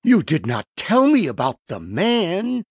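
An actor playing a curious, easily startled foreign traveler in an audiobook, with a puzzled, questioning delivery. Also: male voice, high voice, huge pitch swings, a little slow, a little mellow, slightly rough, no room sound, clean studio sound, full character voice. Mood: shocked. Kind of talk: dramatized audiobook acting.

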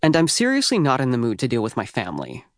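An American man reads a first-person teen novel at a middle pitch. His delivery is youthful, wry and conversational, with a very dry, close studio sound. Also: huge pitch swings, fast talker, dry recording. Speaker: audiobook narrator.

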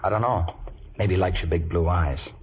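Deep-voiced hard-boiled private eye. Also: male voice, normal speed. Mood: wry, brisk. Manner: wry, clipped, menacing slang. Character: tough, wisecracking, hard-boiled male private detective. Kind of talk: 1940s radio acting. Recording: noisy vintage 1940s radio recording.

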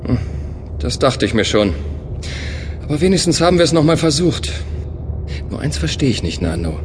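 A man playing a space traveler in an audio drama, narrating in the first person and talking to his ship's computer in a calm, measured, inquisitive way. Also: fairly high pitch, a little hiss or hum. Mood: curious. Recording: music underscore behind voice.

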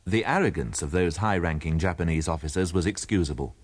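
A man narrating a history in a measured, formal scripted style. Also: low voice, over loud music.

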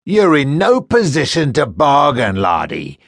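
Brief: cruel sneering sailor villain, read by a man, husky, dry close sound. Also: mid-range voice, wildly swooping pitch, dry recording, voice pushed up, full character voice. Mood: gloating. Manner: taunting, mocking, drawn-out menace. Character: sneering wolfish male first mate, cruel slaver. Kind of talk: audiobook character voice.

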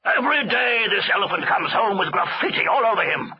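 A man's voice: sits high, big pitch swings, measured pace, slightly rough, dull audio, pitched above the performer's own voice, full character voice. A man voicing a bad-tempered, blustering male elephant master in a storybook, scolding and roaring with exasperation. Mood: exasperated. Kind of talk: storybook character voice.

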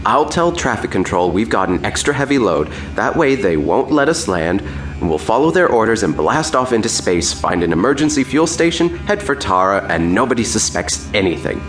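A bossy space hijacker in a radio play, impatient and scheming. The voice is low and animated, in an echoing room with music behind. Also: male voice, normal speed. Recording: roomy echo, music behind.

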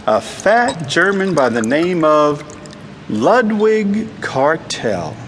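A man's voice: on the high side, a bit bright, slight background noise. An actor playing a cynical, wisecracking male private eye in a noir audio drama, with a sardonic, hardboiled delivery. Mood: wry narrating.

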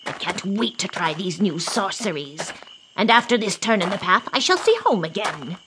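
A woman's voice: mid-range voice, big pitch swings, normal speed, background noise, natural voice. A woman playing a wicked, scheming old witch in a radio drama, cackling and theatrical. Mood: gloating, scheming. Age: older. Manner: theatrical, gleeful, scolding. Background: music.

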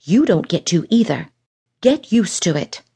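A woman's voice: a little high, big pitch swings, a little slow, mellow, voice pushed up. A woman reading the lines of a frustrated, defiant young woman in an audiobook romance. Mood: furious. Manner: heated, defensive.

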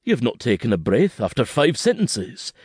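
A man voicing a tender, steady Highland father with a gentle, reassuring way of talking. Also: normal speed, breathy edge, dry recording, clean studio sound.

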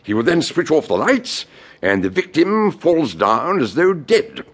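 A genteel house-party host in a radio drama. He speaks slowly and with theatrical relish, pitch moving widely, with a husky edge. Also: sing-song, heavily acted. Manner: deliberate, theatrical.

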